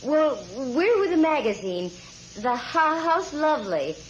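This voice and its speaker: Brisk lady private eye, dull radio recording. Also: high-pitched, very animated, slight background noise. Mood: improvising, hesitant. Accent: American. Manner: brisk, bantering. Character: wisecracking, quick-witted female private eye, bossy and brisk. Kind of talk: 1940s radio acting.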